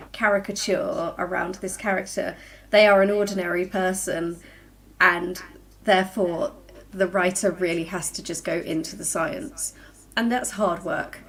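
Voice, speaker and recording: A woman author talking casually on a livestream, chatty and quick, laughing easily. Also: medium pitch. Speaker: author guest.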